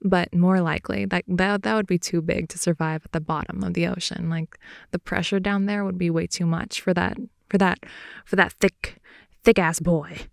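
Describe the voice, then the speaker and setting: A young woman improvising an intimate ASMR girlfriend roleplay, rambling in a playful, slangy way and slipping between soft whispers and more animated talk, close to the mic. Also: fairly low pitch, moderate pace, gravelly, dry recording. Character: wide-awake chatty girlfriend, silly and playful. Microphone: close microphone, dry sound.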